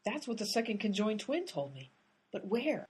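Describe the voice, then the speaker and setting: An impatient amateur detective, played by a woman, talks briskly and sounds exasperated in a comedy radio play. Her voice is husky and breathy, with lively, swinging pitch. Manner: brisk and exasperated, pushing the case forward.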